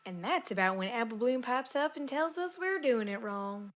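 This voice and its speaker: A woman's voice: fairly high pitch, lively intonation, normal speed, natural voice. A woman voicing an earnest country farm pony, plainly reading a letter aloud. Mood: proud. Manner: folksy, plainspoken. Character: earnest, down-to-earth young country farm mare, cartoon pony.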